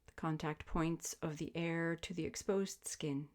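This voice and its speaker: A woman guiding a sleep meditation as a yoga teacher, speaking in gentle, soothing, measured invitations. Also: low-pitched, fairly even intonation. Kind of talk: guided sleep meditation, spoken instruction.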